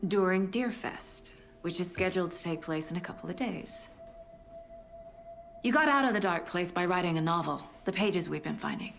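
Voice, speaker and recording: A gravelly, composed investigator. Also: female voice, lowish voice, faint music behind. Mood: probing. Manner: brisk, recapping facts point by point. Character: composed female investigator, probing and dry. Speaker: investigator questioning a witness.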